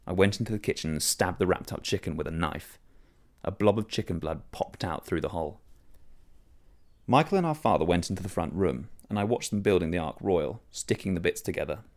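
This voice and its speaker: A man's voice: low voice, a little mellow. A man reading a first-person literary short story as scripted narration.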